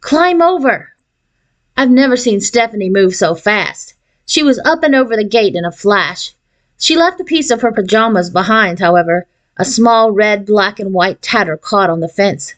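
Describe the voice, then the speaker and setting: A woman reading a children's novel aloud in an expressive storytelling style. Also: mid-range voice, normal speed. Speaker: audiobook reader.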